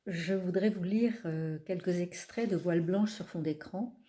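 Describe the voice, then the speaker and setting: A woman poet reading her own poems aloud in a calm, measured way, pausing between phrases. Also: lowish voice. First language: not French. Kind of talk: slow poetry reading.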